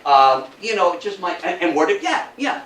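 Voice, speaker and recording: A man's voice: sits high, very animated, moderate pace, a bit bright. An older man giving an informal history talk to an audience, folksy and chatty, putting rhetorical questions to his listeners. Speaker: lecturer. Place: indoor room before an audience. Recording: roomy, distant mic, faint noise.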